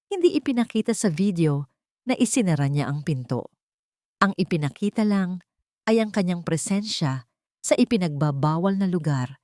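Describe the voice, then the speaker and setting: A woman's voice: deep voice, no echo, clean studio sound. A woman reading a scripted romantic drama story as its narrator.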